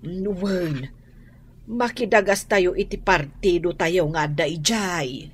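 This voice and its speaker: Slow-talking kindly old Ilocano grandmother. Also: lowish voice, a little hiss or hum. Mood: calm, resigned. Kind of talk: radio drama acting.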